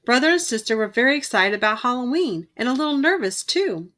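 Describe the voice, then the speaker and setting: A woman reading a children's picture book aloud in an expressive storytelling style. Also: fairly high pitch, fairly quick. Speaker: storybook reader.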